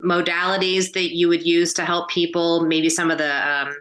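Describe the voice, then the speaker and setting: Bright, lower-voiced woman interviewer. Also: level tone, normal speed. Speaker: podcast host, interviewer. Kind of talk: conversational interviewing.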